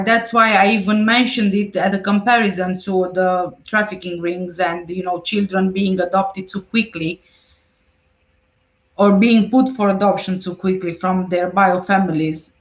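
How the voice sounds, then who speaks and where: Woman host, mid-pitched voice, casual livestream chat, muffled lo-fi audio. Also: fairly even intonation, unhurried, reverberant. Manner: reads viewer comments aloud and replies conversationally.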